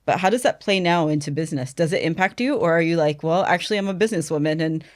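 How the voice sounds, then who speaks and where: A woman from America with an American accent, hosting a podcast interview with lively, chatty questions. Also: sits low, fast. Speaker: podcast host. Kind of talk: conversational interview.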